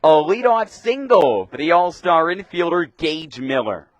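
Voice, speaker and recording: A man's voice: a little high, animated, measured pace, no echo, muffled recording. A young man doing live radio baseball play-by-play commentary, describing the action in short clipped phrases. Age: young adult.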